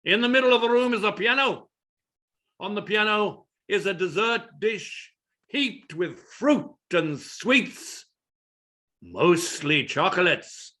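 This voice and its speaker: A man acts as an exacting, pompous playwright narrating a stage play. His voice is high and sharp. He declaims each direction deliberately and theatrically. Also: expressive, heavily acted. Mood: measured, precise.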